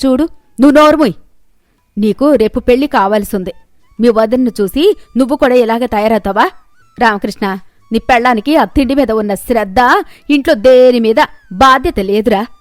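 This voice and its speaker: A woman voicing a stern, domineering older mother-in-law in a story, scolding loudly and sharply. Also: a little high, moderate pace, long pauses, dry recording, natural voice. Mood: angry scolding.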